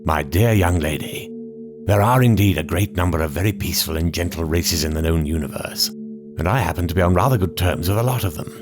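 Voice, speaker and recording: A man's voice: sits low, brisk, music in the background, close to an everyday voice. A man voicing an urbane, condescending male time traveller in an audiobook. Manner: suave and condescending.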